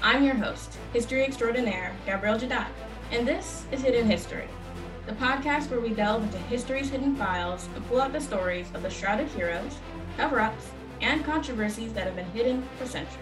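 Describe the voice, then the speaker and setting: A woman's voice: a little high, brisk, recorded from a distance, music in the background. Young woman podcast host, echoey room. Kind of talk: scripted podcast narration.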